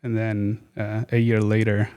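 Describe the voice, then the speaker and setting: A man's voice: fairly low pitch, completely flat delivery, a little slow, darkish tone, clean tone. A man in his thirties from Portugal, a guest speaking casually and hesitantly with many fillers and restarts. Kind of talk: casual interview chat.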